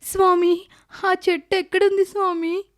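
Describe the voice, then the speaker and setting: A woman's voice: very high voice, little pitch movement, normal speed. A woman voicing an earnest, good-hearted poor village girl in a dramatised moral story. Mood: pleading.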